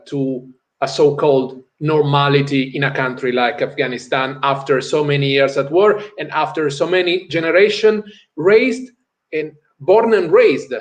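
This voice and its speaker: Hesitant Italian-accented interviewer, slightly high voice. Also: male voice. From Italy. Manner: hesitant, many pauses and fillers. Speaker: interviewer, host. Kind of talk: interview questions.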